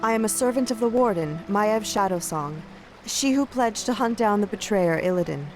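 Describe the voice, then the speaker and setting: A woman's voice: medium pitch, lively intonation, normal speed, music in the background, close to an everyday voice. A woman playing a loyal, earnest young elven messenger, speaking formally in a video game. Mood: earnest, urgent. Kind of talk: dramatic video game cutscene acting.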